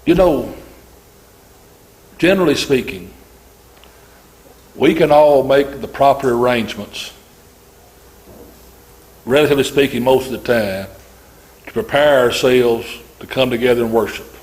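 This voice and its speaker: A man preaching an informal sermon to his congregation, loud-voiced and folksy, talking in a relaxed conversational way with frequent fillers. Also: mid-range voice, a little slow, pauses often, rough recording.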